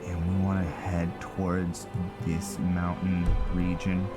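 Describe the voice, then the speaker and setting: A man's voice: low voice, completely flat delivery, slow. A man giving casual, laid-back commentary while playing and roleplaying in a video game. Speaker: gamer, streamer. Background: loud game music.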